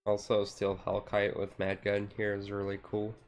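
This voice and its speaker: A man with a fairly low voice talks casually to his stream. His delivery stays flat and unhurried in melody, wandering from thought to thought. He is quick to put himself down in an offhand way. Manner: rambling, self-deprecating. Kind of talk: casual live-stream chat while sorting cards.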